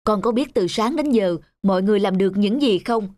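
A woman's voice: mid-range voice, fairly quick, close to an everyday voice. A woman voicing a patient, instructive mother rabbit in a children's cartoon. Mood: chiding. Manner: instructive, questioning. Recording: dry studio voice over soft music.

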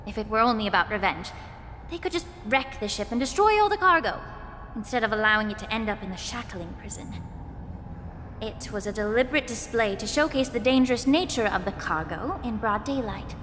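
An actress voicing a calm, thoughtful young woman alchemist in a video game, speaking in a measured, analytical way. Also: on the high side, noisy background, lightly acted. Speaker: game character. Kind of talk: dubbed game dialogue. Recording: long reverb with game music.

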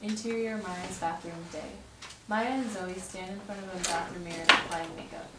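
A woman's voice: lowish voice, fairly even intonation, normal speed. Young woman doing a table read, far from the mic, lots of noise. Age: young adult. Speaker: cast member reading stage directions at a table read. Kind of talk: script read-aloud and casual chat. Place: home dining room. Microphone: far camera. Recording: distant mic, echoey room, loud noise.

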